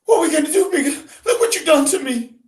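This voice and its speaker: A man voicing a frightened young woman who pleads through tears, breaking into a strained high voice, in an amateur novel reading. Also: lively intonation, fast talker, far from the mic. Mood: pleading, sobbing. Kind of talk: amateur read-aloud of a novel. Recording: roomy echo.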